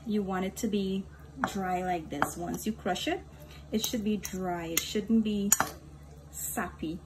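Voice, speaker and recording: A woman with a Guyanese accent hosting a home cooking tutorial, giving calm step-by-step instructions and often addressing viewers as "guys". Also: medium pitch, slow. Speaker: cooking channel host. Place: home kitchen. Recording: home recording with audible kitchen noise. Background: dishes, pots and kitchen clatter.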